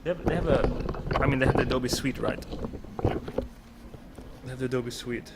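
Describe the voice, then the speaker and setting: A man's voice: mid-range voice, a little slow. A man live-streaming as he walks outdoors by the water, talking to his chat in a casual, slangy way. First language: not Swedish. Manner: chatty, slangy, talks to chat. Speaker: livestreamer. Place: outdoors by the water, wind and rain. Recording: outdoor noise behind.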